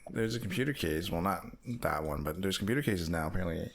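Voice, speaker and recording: Deep-voiced man with lively intonation. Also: fast, noisy background. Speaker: podcast co-host. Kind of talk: casual chat.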